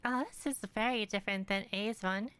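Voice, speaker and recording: A woman's voice: mid-range voice, brisk, fairly bright tone, smooth. A woman game streamer giving casual live commentary as she reacts to a story.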